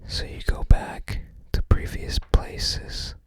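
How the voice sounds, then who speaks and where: Very slow, hushed ASMR whisper. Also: no room sound. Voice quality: soft, breathy whisper. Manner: musing, unhurried, tentative. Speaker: ASMR host. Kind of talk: ASMR, casual commentary while browsing a game guide book.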